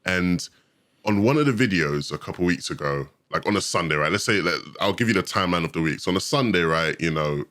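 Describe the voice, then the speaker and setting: Deep-voiced, fast-talking young man. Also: no room sound, clean studio sound. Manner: animated, excitable storyteller. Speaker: podcast guest. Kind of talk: casual storytelling chat.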